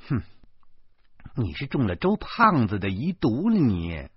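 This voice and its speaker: An exasperated wife played by a male storyteller nags and scolds in an audio story. The voice is husky and swoops up indignantly, stumbling over words. The sound is dry and a little dull. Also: mid-range voice, huge pitch swings, unhurried, no room sound, exaggerated voice. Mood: flustered, exasperated.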